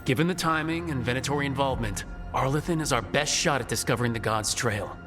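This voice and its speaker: Man as resolute game hero, earnest, decisive, medium pitch, prominent background music. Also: fast talker, lightly acted. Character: determined young male team leader in fantasy adventure. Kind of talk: video game dialogue.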